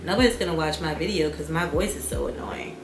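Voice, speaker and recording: Young woman vlogger, calm and relaxed delivery, low voice, echoey room over background music. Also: fast. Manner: calm, soft-spoken, reflective. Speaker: YouTuber. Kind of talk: casual reflective vlog.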